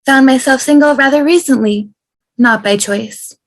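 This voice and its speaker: A woman's voice: sits high, moderate pace. A woman playing a wry, guarded traveller with a dry, understated manner in a sci-fi table read. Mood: wry, rueful.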